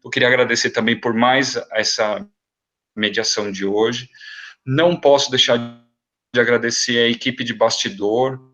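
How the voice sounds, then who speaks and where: A man's voice: medium pitch, fairly even intonation, normal speed, bright, forceful tone. A man organizing a research seminar, giving earnest, hesitant thanks on a video call. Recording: dull lo-fi video call audio.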